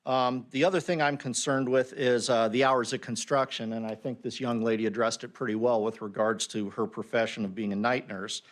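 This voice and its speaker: A middle-aged man with an ordinary mid-range voice speaks off the cuff as a resident. He is plainspoken and fills his pauses with ums and uhs. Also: mid-range voice, fairly even intonation. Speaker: resident giving public comment. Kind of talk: unscripted public testimony.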